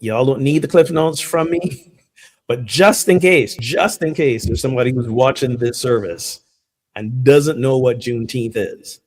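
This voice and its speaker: A man from Barbados, a minister giving a relaxed, conversational sermon full of fillers over a video call. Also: medium pitch. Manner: relaxed and chatty, many fillers. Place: home abroad.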